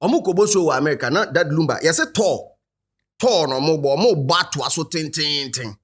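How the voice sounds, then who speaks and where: Animated Ghanaian host, fairly high voice. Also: male voice, brisk, breathy edge. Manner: animated, rhetorical, addresses viewers directly. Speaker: show host. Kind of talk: commentary to camera, mixing Twi and English.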